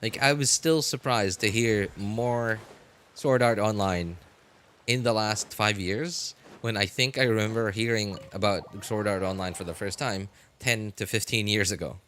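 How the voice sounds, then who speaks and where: A man's voice: lowish voice, a little slow, fairly bright tone. A man in his thirties chatting casually with stream viewers, rambling with many fillers and restarts and chuckling often. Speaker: live game streamer.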